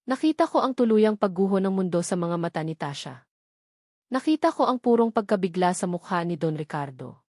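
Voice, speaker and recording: A woman's voice: mid-range voice, darkish tone, dry recording. Woman narrating a dramatic story, dry sound.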